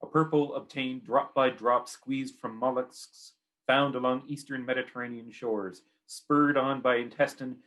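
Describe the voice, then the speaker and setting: A man's voice: mid-range voice, fairly bright tone, rough recording. A man with a Canadian accent giving a poetry reading of his own work in short, clipped, repeating phrases.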